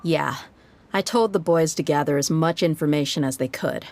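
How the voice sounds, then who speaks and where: A low-voiced frank mercenary. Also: female voice, normal speed, a little mellow. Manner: frank, laid-back. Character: tough, easygoing female mercenary leader. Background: faint game music.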